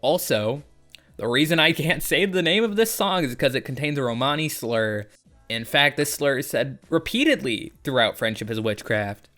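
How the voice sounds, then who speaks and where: A man's voice: mid-range voice, animated, moderate pace, pushed and bright, faint music behind. A man hosting a casual video essay, talking in a sardonic, joking way and swearing freely.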